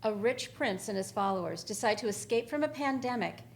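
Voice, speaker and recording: A woman's voice: fairly high pitch, expressive, fairly quick, vocal fry. A young woman presenting, delivering a scripted introduction to readings.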